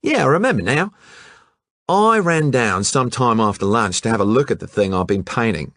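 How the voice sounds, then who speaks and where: A man doing a loud, brash Aussie lad. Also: mid-range voice, lively intonation, moderate pace, no room sound, voice pushed up. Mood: eager. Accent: Australian. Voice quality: broad colloquial slang. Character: brash young male Australian art student.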